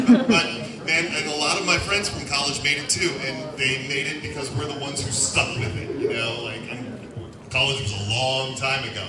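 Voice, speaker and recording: A man actor on a convention panel, talking in a laid-back, slangy way. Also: mid-range voice. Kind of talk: casual panel talk. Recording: echoey hall audio from far off, loud crowd and music.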